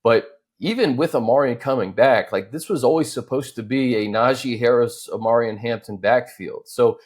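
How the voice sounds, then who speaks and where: A man's voice: medium pitch, normal speed, breathy edge. An American man hosting a sports show, chatting casually in loose, conversational phrasing.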